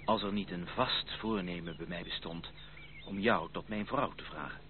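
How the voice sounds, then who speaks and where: An actor playing an earnest, ardent gentleman suitor in a radio play, formal and theatrical in his speech. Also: low voice, normal speed, lightly acted. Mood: pleading. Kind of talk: old radio play acting. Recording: tinny, band-limited, hissy old radio recording.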